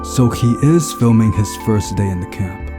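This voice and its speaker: A man's voice: on the low side, moderate pace, music in the background. A man narrating a visual novel aloud as he plays through it. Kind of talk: reading a story aloud, narration.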